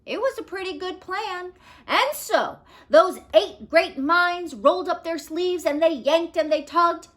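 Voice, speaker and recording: A woman teacher giving an expressive children's read-aloud and explaining things to young listeners. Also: very high voice, measured pace. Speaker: teacher reading a picture book aloud.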